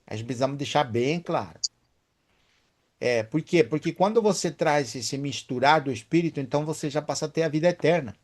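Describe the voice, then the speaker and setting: A man's voice: on the high side, brisk. A man leading a Bible study, emphatic and often asking his listeners for agreement.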